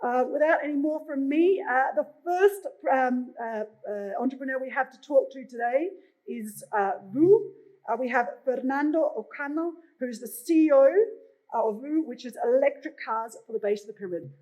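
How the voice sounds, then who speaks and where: A woman's voice: sits high, lively intonation, normal speed, darkish tone, poor audio. A middle-aged Australian woman moderating a conference session, chatty, with frequent filler sounds. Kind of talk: conference stage introduction. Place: conference stage.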